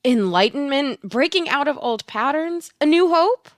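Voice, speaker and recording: Woman acting a high-voiced theatrical fortune teller. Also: animated, moderate pace, no room sound, studio quality. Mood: coaxing, hopeful. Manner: theatrical, coaxing, with pet names. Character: female tarot reader, theatrical, blunt, slyly coaxing fortune teller. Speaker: actor. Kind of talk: audio play acting.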